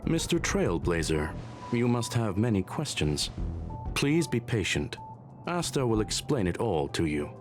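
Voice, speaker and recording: An actor playing a courteous, analytical robot gentleman in a video game, speaking formally and with measure. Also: on the low side, big pitch swings, a little slow. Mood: calm, formal. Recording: music behind the voice. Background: game music.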